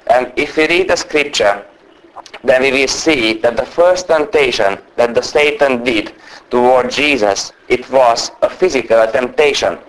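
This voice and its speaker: Fast-talking man preaching with a strong non-native accent. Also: mid-range voice, fairly bright tone, rough recording. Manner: naturally fast talker trying to speak slowly. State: unwell with a cold or flu. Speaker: guest preacher. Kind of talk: sermon.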